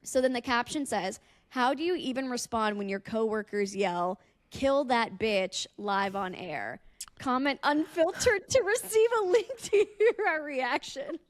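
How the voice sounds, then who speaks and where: A woman's voice: on the high side, expressive, unhurried, no room sound, clean studio sound. An American woman co-hosting a podcast, chatting and telling stories in a casual, joking way. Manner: joking, teasing. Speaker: radio personality and podcast co-host. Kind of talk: casual podcast chat and storytelling.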